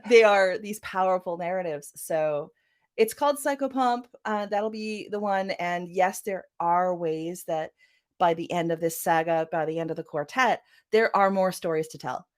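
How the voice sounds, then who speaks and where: A woman's voice: on the low side, moderate pace. A Canadian woman with a Vancouver accent, talking casually as an author guest, with many fillers and frequent laughs. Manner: chatty, laughs often, many "you know" fillers. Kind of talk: casual interview conversation.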